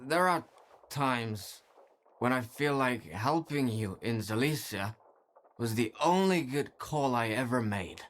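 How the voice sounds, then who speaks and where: A man's voice: mid-range voice, animated, slow. An actor playing a weary, remorseful man, speaking earnestly and wistfully in dramatic video game dialogue. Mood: reflective, grateful. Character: weary, remorseful man devoted to a mysterious zone.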